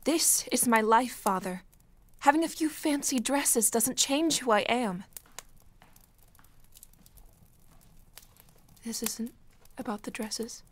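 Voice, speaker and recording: An actress playing a sheltered, defiant young daughter, soft, earnest and emotional, in a period audio drama. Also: female voice, on the high side, a little slow. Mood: quietly defiant.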